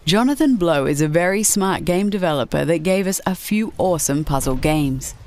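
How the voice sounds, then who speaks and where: A woman's voice: fairly low pitch, lively intonation, normal speed, a little mellow, slight background noise. A woman reading scripted narration for a video list show about games.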